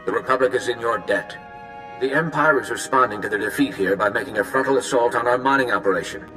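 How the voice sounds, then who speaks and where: An actor playing a courteous, firm army general in a video game, formal and measured in delivery. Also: male voice, mid-range voice, big-room sound, over music, lightly acted. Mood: courteous, commanding.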